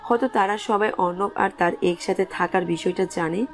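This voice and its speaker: A woman's voice: mid-range voice, moderate pace. A woman reading scripted narration for a romantic story as its narrator. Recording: music under the voice.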